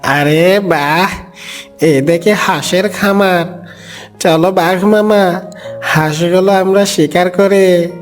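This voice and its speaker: Man as a slow-drawling eager cartoon fox. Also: high voice, soft background music. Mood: eager, impatient. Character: eager, impatient, flattering fox sidekick to a tiger.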